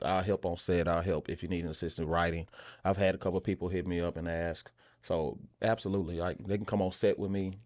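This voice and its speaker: A man's voice: deep voice, darkish tone. A man talking casually as a guest in an interview. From Memphis, United States. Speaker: interview guest, actor and filmmaker.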